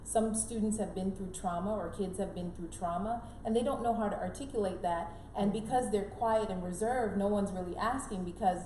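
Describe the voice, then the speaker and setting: A woman's voice: mid-range voice, a little fast, hardly any pauses, warm tone. A middle-aged woman raised in Canada and born in Jamaica, giving earnest conversational advice as an interview guest, emphatic and repeating key phrases. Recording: roomy echo, clear background noise.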